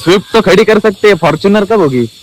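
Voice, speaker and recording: A man's voice: high-pitched, animated, brisk. A young man chatting casually to his vlog viewers in a teasing, matey way. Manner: teasing, addresses viewers as brothers. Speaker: vlogger. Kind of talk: casual vlog chat with friends. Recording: noisy outdoor street with traffic and chatter.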